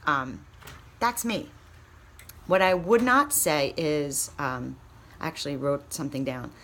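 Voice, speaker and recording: A woman's voice: sits low, measured pace, fairly bright tone, slight background noise. A woman giving an informal training talk in a chatty, candid, encouraging and emphatic way, like a coach talking to new consultants. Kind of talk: informal training talk with acted sample pitches.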